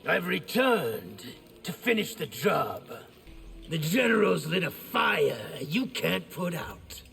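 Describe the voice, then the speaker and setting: A man playing a defiant, contemptuous rebel warrior in a fantasy video game, with a forceful, taunting way of talking. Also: a little high, very animated, slow, vocal fry, faint music behind, heavily acted. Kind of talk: video game dramatic acting.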